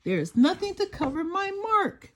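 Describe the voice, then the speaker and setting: A woman's voice: very high-pitched, big pitch swings, moderate pace, voice pushed up. Woman as a timid, loving toy bunny. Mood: worried. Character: timid, loving female toy bunny, anxious about flaws.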